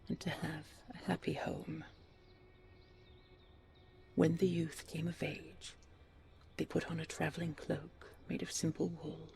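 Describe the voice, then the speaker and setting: A woman tells a fairy tale as a sleep aid. Her voice is soft and breathy with very heavy vocal fry, moving slowly and soothingly over quiet music. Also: deep voice. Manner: unhurried, soothing bedtime delivery. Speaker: storyteller.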